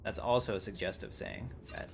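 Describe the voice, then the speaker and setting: Male game streamer: lowish voice, casual rambling commentary, clear game noise underneath. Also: brisk. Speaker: game livestreamer. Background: video game ambience.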